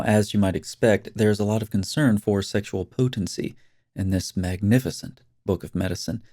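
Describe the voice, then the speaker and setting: A man's voice: on the low side, dark tone, no room sound, clean studio sound. A man hosting a scripted commentary video, speaking in a sarcastic, mocking way.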